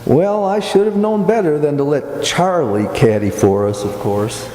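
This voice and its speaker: A patient, peacemaking straight man, acted by a man in radio comedy, mellow and a little high. His manner is mild and polite. A long hall reverb surrounds him, with faint noise. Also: animated, moderate pace, close to an everyday voice. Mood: rueful, storytelling. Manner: mild, conciliatory. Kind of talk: old-time radio comedy acting. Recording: echoey hall with background noise.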